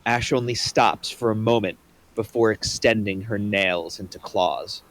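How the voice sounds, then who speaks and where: A man's voice: on the low side, unhurried, fairly bright tone, rough recording. A man narrating the action in a plain, matter-of-fact way. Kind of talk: reading stage directions.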